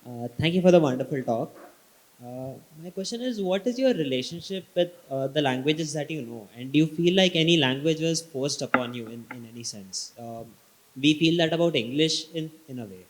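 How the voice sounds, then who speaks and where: Higher-pitched man asking tentatively. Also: animated, normal speed, low-quality recording. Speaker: audience questioner. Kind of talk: polite question from the floor.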